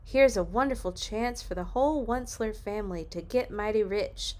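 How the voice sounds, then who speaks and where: A woman's voice: medium pitch, moderate pace, lightly acted. A woman voicing a brash, greedy male businessman in a children's storybook, boastful and dismissive. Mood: excited. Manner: boastful, brushing off objections. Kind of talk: children's storybook read-aloud.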